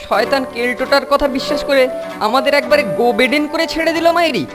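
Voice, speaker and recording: A woman's voice: high-pitched, fast, natural voice. A woman voicing a mischievous, put-upon young schoolboy in a cartoon, grumbling indignantly in slang. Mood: aggrieved. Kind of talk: cartoon voice acting. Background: background music.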